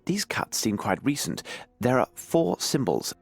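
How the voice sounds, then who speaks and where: An actor playing a self-assured, inquisitive British gentleman detective in a video game, with formal, precise speech. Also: medium pitch, big pitch swings, a little slow, creaky, a little hiss or hum. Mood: coolly probing.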